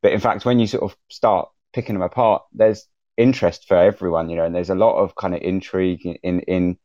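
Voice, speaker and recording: A man podcaster casually explaining on a panel, halting and often repeating words, in a roomy, dull recording. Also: deep voice, fairly even intonation, a little mellow. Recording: roomy, slightly dull lo-fi sound.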